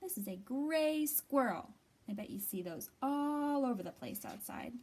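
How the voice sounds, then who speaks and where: A woman with a fairly high voice reads aloud for young children. Her pitch swings widely as she explains things in simple, expressive phrases, like a storytime host. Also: a little slow. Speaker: library storytime host reading a picture book to children. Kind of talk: animated children's read-aloud.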